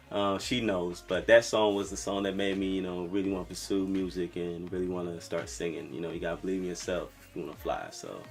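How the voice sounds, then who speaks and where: A young man from Brooklyn, New York, a singer-songwriter talking casually about his music with a soft voice and lots of "you know"s. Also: low-pitched. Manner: relaxed, rambling, lots of fillers like "you know".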